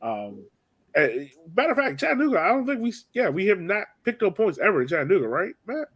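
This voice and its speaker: A man's voice: sits high, big pitch swings, fairly quick, fairly bright tone, husky. A man hosting a casual sports podcast, chatting informally and speaking straight to his co-hosts. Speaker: podcast host.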